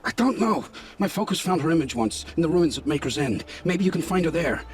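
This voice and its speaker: A man's voice: fairly high pitch, animated, brisk, natural voice. Grave, haunted scavenger played by a man, music behind. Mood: explaining, uneasy. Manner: grave, hushed, haunted storytelling with poetic phrasing. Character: guilt-ridden male scavenger scout, forced informant, begging for family. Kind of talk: dramatic video game acting. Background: background music.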